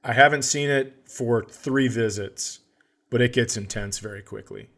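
A man's voice: mid-range voice, moderate pace, vocal fry, a bit bright. A man co-hosting a comedy podcast in casual banter, riffing with playful exaggeration.

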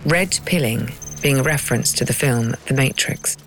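A woman narrating and hosting a documentary podcast in a crisp, dramatic storytelling manner. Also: a bass voice, moderate pace, faint music behind, poor audio. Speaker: narrator and host.

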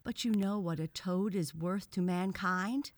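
A woman's voice: lowish voice, unhurried, no echo, pitched above the performer's own voice, close to an everyday voice. A woman voicing a pompous, severe male frog professor who lectures with scorn in a children's storybook. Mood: sarcastic.

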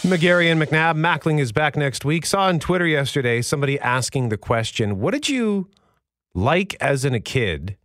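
A man with a deep voice hosting a casual radio show, telling a story in a lively, exasperated way. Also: moderate pace, soft background music. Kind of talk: casual morning radio chat. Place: radio studio.

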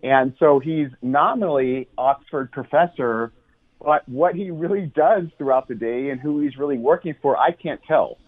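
A man's voice: mid-range voice, moderate pace. American man on a tinny phone line. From United States. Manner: earnest, explanatory, with many ums and restarts. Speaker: journalist guest. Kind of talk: phone interview on talk radio.

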